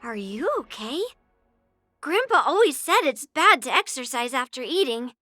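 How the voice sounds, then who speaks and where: A woman voicing a spirited, confident young girl swordswoman in a video game, brash and expressive. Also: sits high, moderate pace, no room sound, soft background music, exaggerated voice. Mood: cocky, playful. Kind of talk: video game character voice lines.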